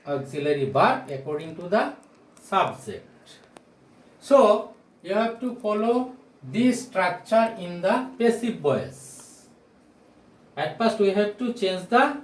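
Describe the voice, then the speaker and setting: A man teaches a grammar lesson as a non-native English speaker, in a fairly high voice. He speaks slowly and deliberately, repeating key phrases and posing questions to learners. The sound comes from some distance away from the microphone. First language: not English. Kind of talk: whiteboard grammar lesson, explanatory with rhetorical questions. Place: small indoor room with bare walls, at a whiteboard. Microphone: camera or phone mic a few steps away. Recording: roomy echo, distant camera microphone.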